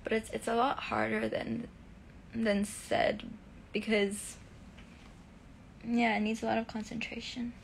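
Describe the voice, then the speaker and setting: Young woman, high-pitched, gravelly fry, casual phone live stream, halting restarts. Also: little pitch movement, a little hiss or hum, poor audio. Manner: reads comments softly, answers with halting restarts. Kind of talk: casual live stream answering fan comments.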